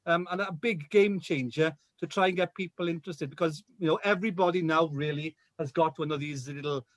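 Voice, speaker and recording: A man's voice: fairly high pitch, fairly quick, dry recording. Welsh man, guest on a radio chat, repeats words, dry close sound. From Wales. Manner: hesitant, repeats words often. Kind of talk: casual chat on a radio show. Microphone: video call.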